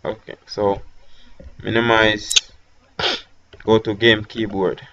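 A man with a Jamaican accent talking through a software tutorial step by step, with hesitant fillers between instructions. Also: on the low side, little pitch movement, takes it slowly, dull audio. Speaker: tech tutorial host. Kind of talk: screen-recorded software tutorial.

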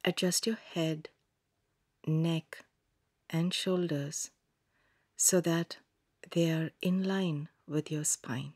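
A woman guiding a yoga nidra meditation in a calm, soothing manner, giving gentle instructions in a soft, breathy voice with long pauses. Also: sits low, no room sound, clean studio sound. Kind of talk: scripted guided meditation narration.

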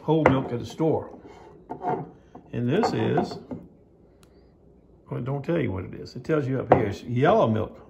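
Folksy older man with a gravelly voice. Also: mid-range voice, muffled recording, very noisy. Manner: rambling, folksy, chatty. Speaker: knife sharpener vlogger. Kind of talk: casual home vlog narration. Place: house and yard, handheld phone filming.

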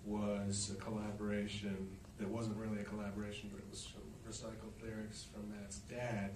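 A man's voice: on the low side, completely flat delivery, moderate pace. A middle-aged man answering audience questions after a film screening, rambling and anecdotal with plenty of fillers. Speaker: actor and musician in a film Q&A. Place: cinema stage with audience. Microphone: handheld mic, recorded from far in the audience. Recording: echoey theater from far off, noisy.

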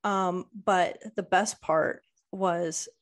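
A woman in her fifties, an author guest on a podcast, telling stories in lively casual chat. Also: on the low side, extremely slow. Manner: lively storyteller, acts out voices.

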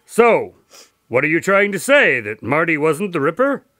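An actor playing a doctor who tells his cases to a visitor, speaking in a measured, somber way. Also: on the high side, very animated, bright, forceful tone, no echo. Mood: tense. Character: Dr. Watson's grandson, a doctor who narrates cases. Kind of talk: audio drama acting.

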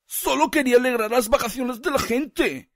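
A man voicing a sensitive, excitable young boy in a cartoon, expressive and plaintive, with a Castilian Spanish accent. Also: very high-pitched, normal speed, dry recording, clean studio sound. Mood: hopeful, plaintive.

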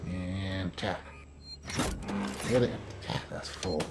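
Male let's player, lower register, casual muttered asides, clearly noisy recording over game ambience. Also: extremely slow. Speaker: video game player, host. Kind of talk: casual let's play commentary.

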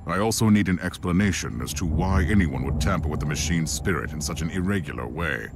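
A man's voice: a bass voice, animated, fairly quick, noisy background. An actor playing a stern, suspicious inquisitor in a science fiction game, curt and authoritative as he questions and commands. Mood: commanding.

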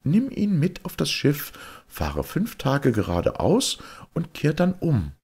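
A man voicing a calm, reassuring young wife with magic powers in a fairy tale, soothing and coaxing. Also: a little high, slow pace, gravelly, husky, pitched above the performer's own voice, exaggerated voice. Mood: calm, instructing.

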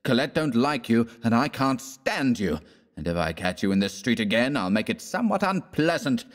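A man's voice: fairly low pitch, normal speed, lightly acted. Man acting a sneering, combative young American, echoing. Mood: menacing. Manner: forceful, sneering, growling threats. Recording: long reverberant room echo.

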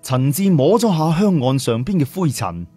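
A man's voice: fairly high pitch, expressive, normal speed, mellow, husky, dry recording, soft background music. A man narrating a story in a dramatic storytelling style. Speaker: audiobook narrator.

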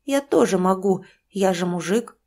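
Lady doing a sulky hungover little boy, hoarse and whiny. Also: mid-range voice, expressive, normal speed, darkish tone.

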